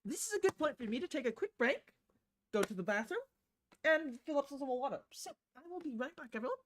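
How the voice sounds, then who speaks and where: A woman's voice: sits high, lively intonation, quick pace, slight background noise. A woman streaming a video game live and talking to viewers. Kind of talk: live stream, reading game dialogue aloud.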